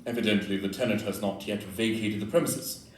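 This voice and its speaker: A man's voice: on the low side, steady tone, fairly quick, close to an everyday voice. A man acting a keen, exacting detective on stage in an audio play, speaking in a precise, declamatory, analytical way. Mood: observant, dry. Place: live indoor performance in a club room. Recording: roomy distant live recording, background noise.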